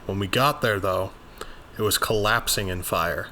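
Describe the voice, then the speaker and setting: Audio drama lead: bookish occult investigator, male man performing, precise and earnest, lowish forceful voice. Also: normal speed, a little hiss or hum. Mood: brooding. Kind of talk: first-person journal narration, dramatized.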